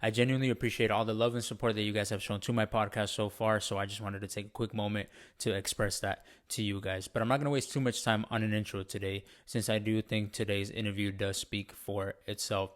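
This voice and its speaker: A man hosts a podcast in a fairly low voice. He talks politely and at a measured pace, putting structured questions to his guest one step at a time. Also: little pitch movement. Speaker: podcast host. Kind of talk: podcast interview hosting.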